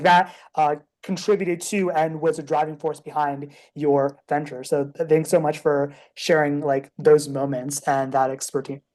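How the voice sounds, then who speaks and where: A woman's voice: low voice, a little mellow. A young woman hosting a podcast, asking questions and reflecting thoughtfully with frequent fillers. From United States, Indian American. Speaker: podcast host.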